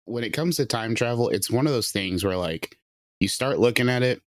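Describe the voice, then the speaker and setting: A man writing an audio drama, talking in a relaxed, joking way with frequent laughs on a podcast. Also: medium pitch, brisk, no room sound, studio quality. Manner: relaxed, jokey, laughs often. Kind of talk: casual podcast chat.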